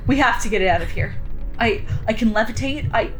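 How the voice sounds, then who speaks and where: An anxious young woman played by an actress in an audio drama. Her high voice stammers apologetically, with music underneath. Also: brisk, close to an everyday voice. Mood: panicked. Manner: nervous, stammering.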